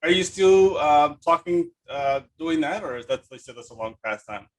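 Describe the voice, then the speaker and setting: A man's voice: a little high, lively intonation, moderate pace. A man hosting a podcast in a casual, eager and effusive chatty style. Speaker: podcast host. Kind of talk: casual chat, interviewing.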